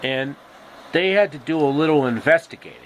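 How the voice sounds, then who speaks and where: A man telling a story informally, with halting, deliberate phrasing and casual asides. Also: fairly high pitch, slight background noise, poor audio. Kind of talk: informal, improvised storytelling with pop-culture asides.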